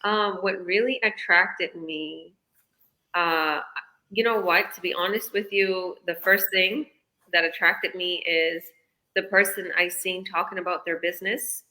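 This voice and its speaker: A woman's voice: fairly low pitch, steady tone, a little slow, sharp tone, poor audio. A woman business coach from British Columbia answering interview questions in a casual, chatty way, explaining eagerly. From British Columbia, Canada. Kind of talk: live online interview answers.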